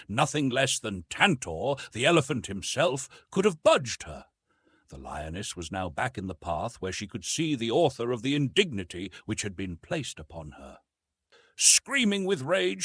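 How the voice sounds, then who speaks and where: A man's voice: medium pitch, fairly bright tone, slightly rough, no echo, clean studio sound. A man narrating an adventure story from a script in a dramatic, theatrical way, drawing out words for emphasis. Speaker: audiobook narrator.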